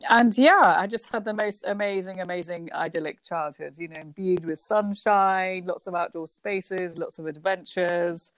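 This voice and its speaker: Chatty woman, lively anecdotes, dry sound. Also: on the low side, dry recording, rough recording. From Kenya. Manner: lively, laughs often, many restarts. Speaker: guest, company founder. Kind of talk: casual conversational interview.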